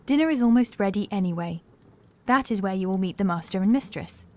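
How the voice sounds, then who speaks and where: A gracious housekeeper from a Gothic horror audiobook, acted by a woman, with a medium-pitched voice. She is polite and genteel in her phrasing, yet quietly insistent. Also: lively intonation, fairly quick, lightly acted. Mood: brisk, hospitable.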